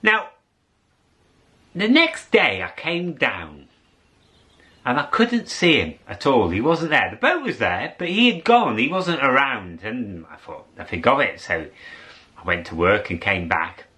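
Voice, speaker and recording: Animated male storyteller with wide swooping pitch. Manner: theatrical, with dramatic pauses and drawn-out words. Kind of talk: animated oral storytelling to an audience.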